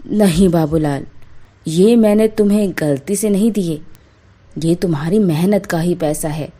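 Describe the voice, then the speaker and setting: A woman voicing an authoritative, deliberate village landlord, a grown man, in a storybook tale. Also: on the low side, mellow. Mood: pleased, grateful. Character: village landlord, commanding but grateful adult man.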